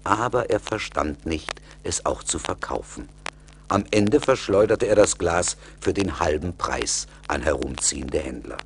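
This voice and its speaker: Casting: male fairy-tale narrator, deep register, lively intonation, radio play. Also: measured pace, slight background noise.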